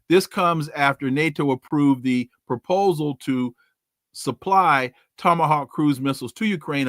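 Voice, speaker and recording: A male podcast host speaks with emphasis, firing off rhetorical questions and folksy sayings. His voice sits a little high for a man. The recording is dry and close, with no room sound. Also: fairly bright tone, no room sound. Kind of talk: news commentary and interview opening.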